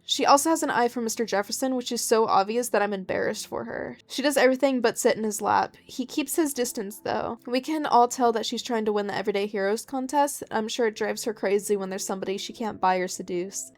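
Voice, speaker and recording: Chatty woman with a highish voice. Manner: informal, chatty. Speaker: gaming video host. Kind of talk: casual let's play commentary, reading game text aloud.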